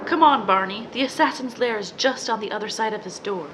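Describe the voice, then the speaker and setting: A woman's voice: a little high, brisk, over music, close to an everyday voice. A woman playing a plucky, earnest girl sleuth. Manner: earnest, breathless, old-fashioned heroine talk. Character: plucky amateur-sleuth twin sister. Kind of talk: 1940s radio drama acting. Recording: noisy background hiss.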